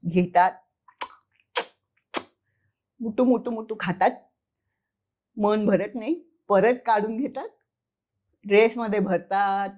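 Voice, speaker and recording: A woman's voice: mid-range voice, takes it slowly, pauses often, darkish tone. A woman storyteller narrating informally for children, conversational, addressing listeners and repeating words for effect.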